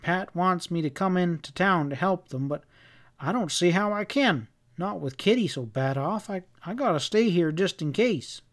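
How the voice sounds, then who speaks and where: A man's voice: high voice, animated, moderate pace, voice pushed up. A man voicing an anxious, stubborn frontier husband in a storybook western, with rustic, hesitant, stammering talk. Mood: uneasy, defensive.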